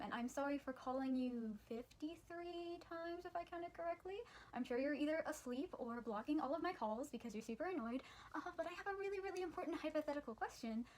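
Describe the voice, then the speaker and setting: Teen girl playing a panicky high-voiced caller. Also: close to an everyday voice. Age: teens, high school senior. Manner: hesitant, nervous, hedging. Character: panicky, guilty young caller making awkward excuses. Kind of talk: comic stage monologue.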